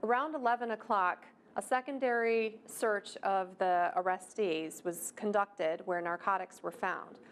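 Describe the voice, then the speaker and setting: A woman giving a formal, halting official statement as a police spokesperson. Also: mid-range voice, steady tone, clean tone. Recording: faint background noise.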